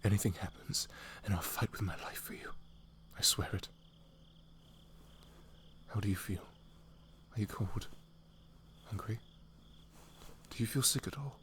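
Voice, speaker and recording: A man voicing a devoted, protective knight, soft, breathy and earnest, in a romantic ASMR fantasy roleplay. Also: fairly low pitch, unhurried, pauses often. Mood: tender, protective. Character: devoted young knight, protective, wary, tender lover.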